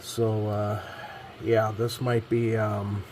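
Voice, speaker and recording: A man presenting a radio repair vlog in a casual, explanatory way, with halting, hesitant phrasing and frequent pauses. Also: fairly low pitch, completely flat delivery, a little mellow, slight background noise.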